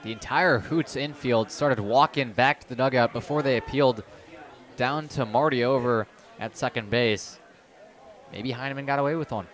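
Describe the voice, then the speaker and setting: A man's voice: medium pitch, normal speed, a bit bright. A man giving conversational, explanatory color commentary on a live baseball radio broadcast. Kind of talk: live radio sports analysis.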